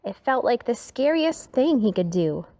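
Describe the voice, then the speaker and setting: A woman reading a rhyming children's story aloud in an expressive, dramatic way, sometimes dropping to a hush. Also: fairly high pitch, a little slow.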